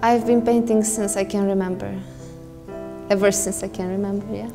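A woman in her twenties with a Romanian accent, speaking in a halting, reflective way in a casual interview. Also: mid-range voice, fairly even intonation. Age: 20s. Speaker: painter. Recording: long hall reverb. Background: music.

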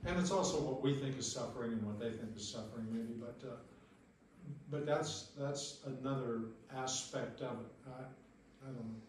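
A man in a casual group discussion, offering his opinion in a halting way with many restarts. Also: medium pitch, a little mellow. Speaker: Bible study participant. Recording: echoey room, distant mic, faint noise.

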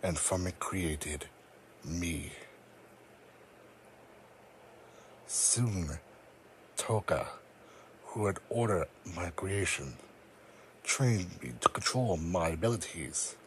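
Male performer as proud male psychic creature, brooding outcast, low-pitched, slow, solemn, dramatic pauses, roleplay monologue. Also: animated, slight background noise, low-quality recording. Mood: solemn, bitter. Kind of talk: scripted ASMR audio roleplay monologue.

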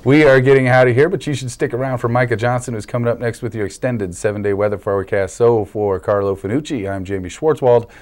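A man's voice: mid-range voice, fast talker, hardly any pauses, darkish tone. A young man hosting the sports segment of a local TV newscast, interviewing a guest in an upbeat broadcast manner with a few small stumbles. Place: small local TV news studio, indoors. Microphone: lapel mic.

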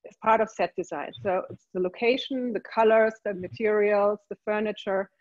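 A woman's voice: mid-range voice, fairly even intonation, moderate pace, a little mellow, no room sound. A woman in her forties from Germany with a German accent, explaining her work as an interior design director in a video call interview. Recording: dull lo-fi video call audio.

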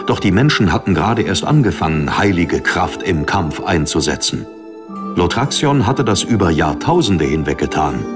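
A man reading scripted dramatic narration as an audiobook narrator. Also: sits low. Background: music under the narration.

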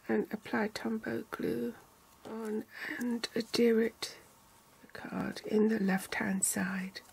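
An older woman calmly walking through a card-making tutorial step by step, reading out measurements in inches and centimeters. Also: fairly high pitch, vocal fry, slight background noise, poor audio. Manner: calm, measured, reads out sizes in inches and centimeters.

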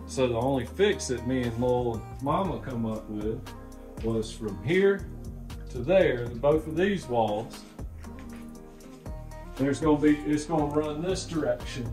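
A man's voice: mid-range voice, lively intonation, measured pace. A man in his thirties casually explaining carpentry plans in an unfinished room, folksy and drawling. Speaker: vlog co-host renovating a houseboat. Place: unfinished room of a houseboat, indoors. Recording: music playing behind.

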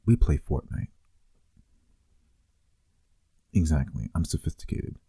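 A man's voice: extremely low pitch, sing-song, fairly quick, creaky, warm tone, breathy edge, no room sound, full character voice. A man playing a teasing, affectionate boyfriend in an intimate, casual ASMR roleplay, murmuring playfully.

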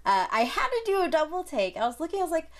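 A woman's voice: very high-pitched, very animated, quick pace. Bubbly woman hosting a TV interview. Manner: bubbly, enthusiastic questioning. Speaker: host. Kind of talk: entertainment TV interview. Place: studio set.

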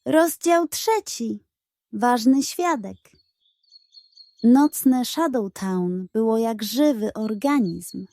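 Slow, mellow woman storyteller. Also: on the high side, animated, no room sound. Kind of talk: scripted narration of a children's detective story.

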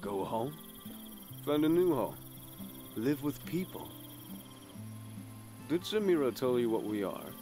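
A man playing a weary, conscientious assassin in an audio drama, speaking gravely and tersely. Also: mid-range voice, very animated, slow pace, pauses often, over music. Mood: subdued, gentle. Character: brooding, conscientious male assassin, weary and sober.

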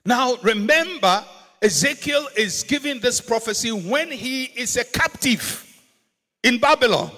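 An older man with a Ghanaian accent delivers an emphatic sermon with a bright, ringing tone set high for a man. He slows down to land key words and builds up to shouts. The sound carries a long reverb from a big auditorium. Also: animated. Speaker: pastor. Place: large church auditorium stage. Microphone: handheld microphone.

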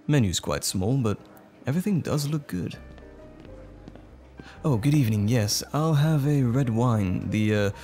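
A man playing a gentle, bashful romantic date in an ASMR roleplay, speaking tenderly and a little hesitantly. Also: mid-range voice, lively intonation, a little slow. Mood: warm, courteous. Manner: tender, hesitant, lightly chuckling flirtation. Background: music.

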